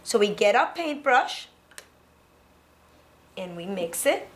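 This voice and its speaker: A middle-aged woman teaching preschoolers in a classroom, talking young children through each step in an encouraging, animated way. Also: mid-range voice, slow pace, a little hiss or hum. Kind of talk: children's educational demonstration. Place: indoor classroom.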